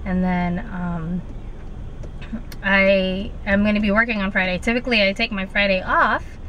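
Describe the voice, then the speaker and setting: A woman in her twenties with a raspy voice from a fading cold, chatting casually to the camera from inside her car, rambling with lots of fillers. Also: medium pitch, fairly even intonation, moderate pace, bright, forceful tone, lo-fi sound. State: raspy voice while getting over an illness. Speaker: vlogger. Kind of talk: casual vlog chat. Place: inside a car at a drive-thru. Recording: car noise in the background.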